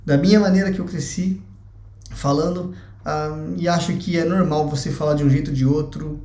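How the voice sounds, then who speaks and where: A man's voice: a little high, steady tone, normal speed, a little mellow. A young Brazilian man with a Brazilian Portuguese accent, giving his opinion in a blunt, chatty vlog and swearing freely. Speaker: vlogger. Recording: echoey room.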